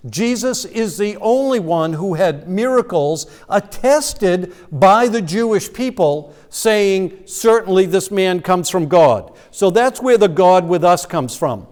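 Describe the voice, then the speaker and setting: A man with a notably high voice gives a sermon in a church. He explains scripture in a loose, conversational way, stumbling and restarting now and then. His words ring out through a long hall reverb. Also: lively intonation, slow, few pauses, darkish tone. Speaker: pastor. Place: church sanctuary.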